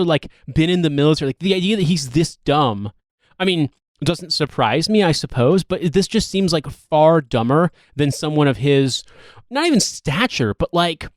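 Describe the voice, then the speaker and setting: A man's voice: fairly high pitch, dry recording, clean studio sound. A man hosting a podcast, talking casually about politics in a rambling, wry and sweary way. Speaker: podcast host. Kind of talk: casual, joking political discussion.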